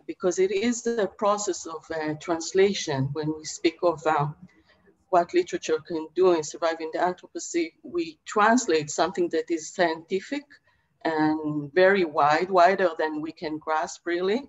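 A middle-aged woman from Israel speaking as a panelist poet on a video call from her home study, measured and thoughtful with many pauses and fillers. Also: lowish voice. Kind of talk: reflective panel talk. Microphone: video call webcam. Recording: dull lo-fi video call audio.

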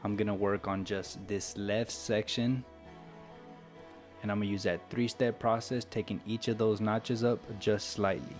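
Low, even-toned American man over music. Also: measured pace. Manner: casual, step-by-step explaining. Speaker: barber giving a tutorial. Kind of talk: instructional voice-over narration. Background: background music with guitar.

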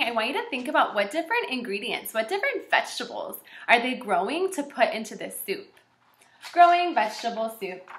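A woman in her twenties reading aloud and teaching young children as a kindergarten teacher, in an encouraging, animated way. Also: on the high side, a little hiss or hum. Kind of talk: children's read-aloud lesson. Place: small indoor room with shelves and whiteboard. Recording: echoey room.

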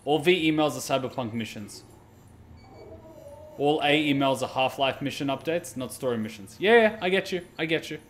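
A man's voice: medium pitch, lively intonation, normal speed. A man giving casual live gameplay commentary, exclaiming, questioning and swearing as he plays. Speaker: gaming streamer. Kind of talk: casual live gameplay commentary, reading on-screen text aloud. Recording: background noise behind the voice.

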